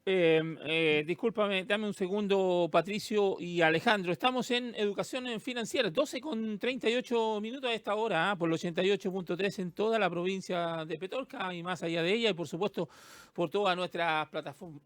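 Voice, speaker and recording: A man hosting a radio talk show from a studio, giving time checks and asking long, halting questions. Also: high voice, fairly bright tone, no echo. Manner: brief affirmations, rambling halting questions. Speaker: radio host.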